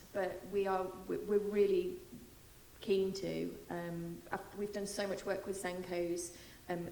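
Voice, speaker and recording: Flat, gravelly-voiced British woman panelist. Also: fairly low pitch, slight background noise. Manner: measured, many ums. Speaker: programme panelist. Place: large hall. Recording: echoey hall with faint ambience.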